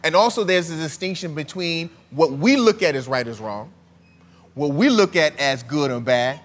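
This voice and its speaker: A man's voice: on the high side, animated, normal speed. Preaching man in a reverberant hall. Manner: conversational preaching with rhetorical questions. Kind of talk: sermon.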